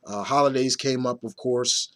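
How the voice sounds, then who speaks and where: A man in his thirties talks casually to his viewers as a movie reviewer. His medium-pitched voice is a little raspy, as if run down with a cough. He speaks haltingly, circling back to repeat phrases for emphasis. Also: steady tone. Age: 30s. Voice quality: slightly raspy. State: mildly under the weather with a nagging cough.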